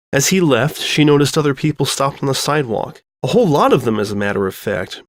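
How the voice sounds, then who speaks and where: A man's voice: mid-range voice, no room sound, studio quality. A man reading a scripted comic story as narrator, telling it expressively. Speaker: fan fiction narrator.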